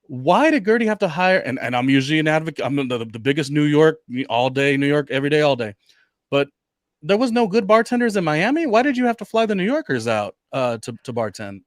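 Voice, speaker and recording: A man hosting a casual reality TV recap podcast, talking in an animated way and hedging his points with many fillers. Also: fairly high pitch, a little fast, no echo, studio quality. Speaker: podcast host.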